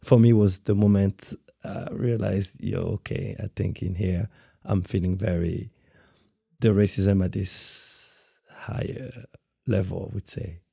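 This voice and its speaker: French guy in his 30s, low gravelly voice, dark and mellow, halting and repeating words, dry close sound. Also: fairly even intonation, dry recording. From France. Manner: soft-spoken, halting, repeats words while searching for phrasing. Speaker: guest dancer. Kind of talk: interview conversation.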